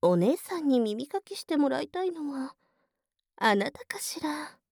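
A high, slow and swooping voice of a devilish, seductive big sister. She teases coyly and takes full control, in an intimate ASMR roleplay style. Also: very animated, creaky, a little mellow, no echo, clean studio sound, heavily acted. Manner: coy, slow teasing, drifting into whispers.

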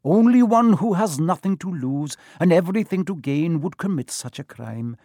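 Man voicing a noble, high-pitched young centaur. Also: lively intonation, normal speed, dark tone, dry recording, clean studio sound. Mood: solemn. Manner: formal, earnest, emphatic speech. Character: young male centaur, noble, urgent, solemn and defiant. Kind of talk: storybook character voice.

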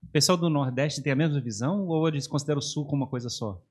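A man's voice: a little high, racing, a little mellow, breathy edge. A man co-hosting a podcast, asking rambling questions and searching for words mid-sentence. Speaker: podcast co-host. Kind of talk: casual podcast conversation.

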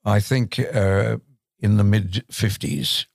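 A man's voice: fairly low pitch, fairly even intonation, slow, gravelly, no echo. An older Australian man, a veteran broadcaster, reminiscing in a dry, understated way during a casual podcast chat.

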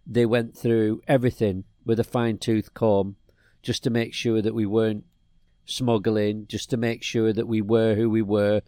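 A middle-aged man from England chats on a podcast and spins a meandering story full of restarts. His voice is warm and mellow. The sound is dry and close. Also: fairly low pitch, flat delivery, normal speed, no echo. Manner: rambling storyteller, many restarts. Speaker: podcast co-host. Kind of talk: casual chat.

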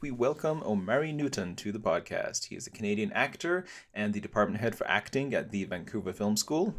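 A man from England hosting a podcast interview in a relaxed, chatty way with frequent fillers. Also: fairly low pitch. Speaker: podcast host. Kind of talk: casual interview chat.

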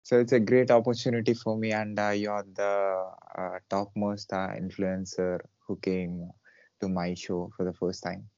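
A man hosting an interview, putting his questions in a halting, conversational way. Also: fairly low pitch, flat delivery, darkish tone, no room sound, highs cut off. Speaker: interviewer, podcast and channel host.

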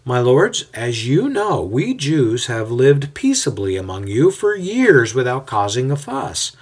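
A man voicing a scheming, smooth-talking mob leader in an audio story, speaking with calculated menace. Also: medium pitch, very animated, a little slow, voice pushed up. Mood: smooth persuasive. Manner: raised, calculated and coolly menacing.

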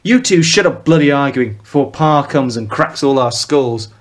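A man voicing a boyish, bossy young male traveller with a Mancunian accent, yelling in exasperation. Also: mid-range voice, expressive, moderate pace, lightly acted. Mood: exasperated.

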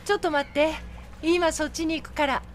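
An actress playing a gentle, hesitant woman who runs a food truck in an audio drama, speaking politely and tentatively. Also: high-pitched, steady tone, a little fast, a little hiss or hum, lightly acted. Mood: friendly, hopeful. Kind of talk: Japanese audio drama acting.

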